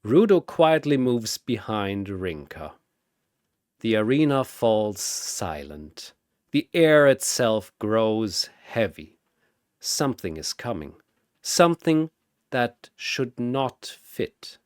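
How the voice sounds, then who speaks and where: Slow, dramatic male story narrator. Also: lowish voice, very animated, no echo, clean studio sound.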